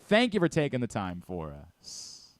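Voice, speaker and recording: A man's voice: mid-range voice, wildly swooping pitch, normal speed, dry recording, clean studio sound. A man hosting a sports talk show, speaking casually and emphatically, often repeating a phrase to drive it home. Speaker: sports radio show host. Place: radio studio.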